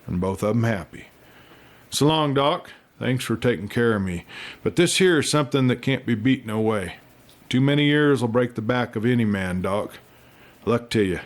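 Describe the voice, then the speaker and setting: A man voicing a frail old rancher in a western audiobook, tender and resigned, speaking in a hushed rustic drawl. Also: mid-range voice, animated, moderate pace, natural voice.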